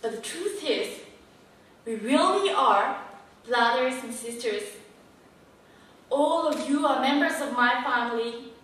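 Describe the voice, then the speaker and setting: High-voiced Japanese teen girl in a hall. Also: expressive, a bit bright, far from the mic. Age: teens. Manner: earnest, slows down to stress key phrases. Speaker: speech contest competitor. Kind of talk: memorized formal speech. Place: large auditorium stage. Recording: echoey hall heard from afar.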